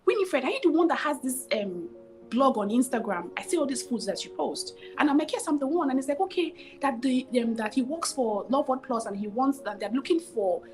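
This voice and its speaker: High-voiced Nigerian woman telling her story. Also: fairly quick, faint music behind. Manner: expressive, gestures a lot, repeats phrases for emphasis. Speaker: guest, cooking show host. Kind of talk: animated storytelling interview. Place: home room with curtains, video call.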